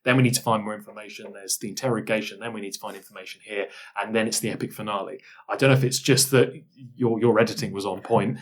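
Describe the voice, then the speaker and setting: A man's voice: on the low side, steady tone, fast, hardly any pauses. A man chatting casually as a podcast co-host, looking back on a past production. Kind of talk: casual chat.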